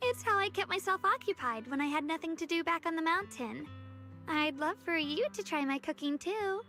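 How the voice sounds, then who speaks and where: A caring young anime game heroine, really high bright voice, soft-hearted n a bit hesitant. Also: female voice, lively intonation, normal speed, full character voice. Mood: shy, eager. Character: sweet, caring young woman who bakes, shyly wistful. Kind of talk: anime-style video game voice acting. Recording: background music under voice.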